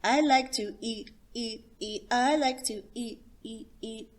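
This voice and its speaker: Woman acting an excitable, high-pitched little storybook boy. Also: slow pace. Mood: sing-song. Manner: excitable, sing-song chanting. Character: eager hungry little boy who loves eating. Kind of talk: children's storybook character voice.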